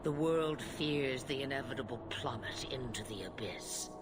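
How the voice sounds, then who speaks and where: An actress playing an ancient dragon witch in an old woman's form, with a breathy, cryptic, theatrical delivery in a video game. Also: rumbling low, expressive. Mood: grave, prophetic. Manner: slow, theatrical, grandly cryptic. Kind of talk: video game cutscene acting. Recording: voice under loud background music.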